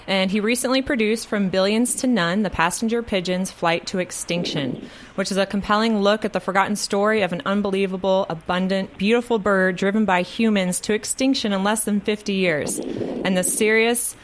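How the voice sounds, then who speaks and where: A woman's voice: mid-range voice, steady tone, moderate pace, a little hiss or hum, rough recording. A woman hosting a radio talk show, introducing a guest with many fillers. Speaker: host.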